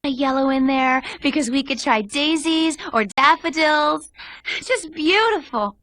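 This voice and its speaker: A woman voicing an eager, enthusiastic florist in an animated game. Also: high-pitched, measured pace, faint music behind.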